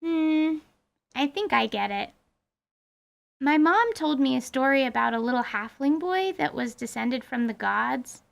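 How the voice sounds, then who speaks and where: A woman's voice: high-pitched, animated, measured pace, vocal fry, full character voice. A woman playing a blunt, curious young girl adventurer in a fantasy audio drama, with colloquial speech. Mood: curious, pondering.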